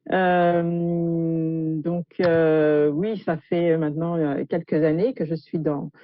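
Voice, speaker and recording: A woman from Gabon speaking as a guest chef, recounting her background in an interview with drawn-out hesitations. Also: low-pitched, level tone, no echo. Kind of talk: autobiographical account in an interview. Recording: muffled lo-fi audio.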